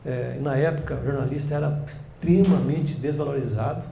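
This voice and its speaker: A man in his sixties from Brazil, a journalist guest telling family stories in a relaxed interview, halting and restarting often. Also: mid-range voice, gravelly. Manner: rambling, halting storytelling with many restarts. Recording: echoey room, faint background noise.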